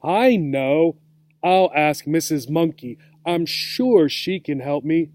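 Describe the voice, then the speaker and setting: Storybook mother kangaroo, played by a man: anxious, gentle, pleading, slightly high, soft-toned. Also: animated, slow pace, no echo. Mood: relieved, hopeful.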